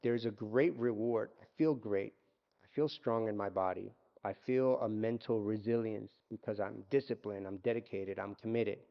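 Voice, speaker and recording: A man in his forties, born in Argentina and raised in Miami, telling his life story as a guest, thoughtful and halting, often restarting his sentences. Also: on the low side, fairly even intonation, vocal fry, lo-fi sound. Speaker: guest, yoga instructor and coach. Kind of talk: reflective personal storytelling.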